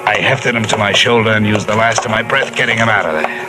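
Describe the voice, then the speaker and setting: A hard-boiled insurance investigator, as an actor plays him, narrating in a low, clipped voice. The old radio recording has loud music behind the words. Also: male voice, fast, lightly acted. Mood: terse. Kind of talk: 1950s radio drama narration.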